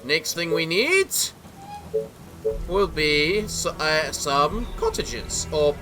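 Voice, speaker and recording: A young English man giving casual let's-play commentary in a theatrical gentleman persona, a little sick, with a voice that sounds off. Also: fairly high pitch, very animated, extremely slow, music in the background. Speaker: gaming YouTuber.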